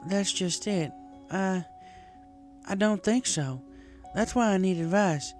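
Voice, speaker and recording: A woman voicing an earnest, plain-spoken working farm pony who talks in a halting, folksy way in a story. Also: mid-range voice, a little mellow, pitched above the performer's own voice, close to an everyday voice. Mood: worried, confiding. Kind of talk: fan fiction character dialogue. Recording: music behind the voice.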